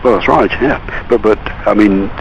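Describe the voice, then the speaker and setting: A man's voice: on the low side, moderate pace. A man working as a bank representative on a phone call, countering politely in a guarded, hesitant way. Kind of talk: phone conversation. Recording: dull, noisy telephone line.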